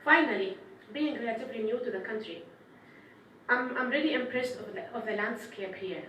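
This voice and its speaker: A woman gives earnest, formal remarks, her voice pitched a little high. She often restarts her phrases. She sounds set back from the mic in a very echoey room, with faint noise behind her. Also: a little fast, a bit bright, distant mic. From Palestinian, raised in Syria and Jordan. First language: Arabic. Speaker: development official giving remarks. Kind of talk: formal speech at a press event. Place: indoor press conference room.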